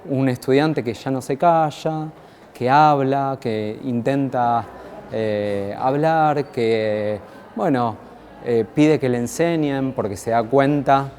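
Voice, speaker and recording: A man with an Argentine accent gives a reflective academic talk in a dark, mellow voice at an average pitch. He halts, repeats phrases and searches for words, with faint noise in the background. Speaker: academic speaker. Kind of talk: reflective lecture on education.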